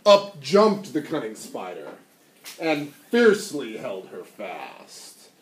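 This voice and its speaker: A man reading a storybook aloud to children in a dramatic, theatrical and deliberate way. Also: mid-range voice, huge pitch swings. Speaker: story reader. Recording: echoey, noisy room, distant mic.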